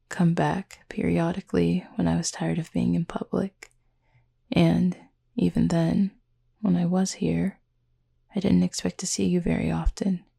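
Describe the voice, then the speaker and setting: A woman playing a reserved, tender cupid roommate in an intimate audio roleplay, speaking formally and gently wry in a breathy, husky voice. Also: on the low side, heavily acted. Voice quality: husky, breathy, often near whisper.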